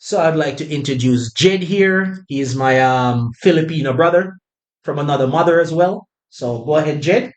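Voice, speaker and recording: A man's voice: on the high side, normal speed. A man hosting a podcast, introducing his guests in a friendly, brotherly way and often saying "you know.". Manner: warm, brotherly welcomes with filler "you know". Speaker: podcast host.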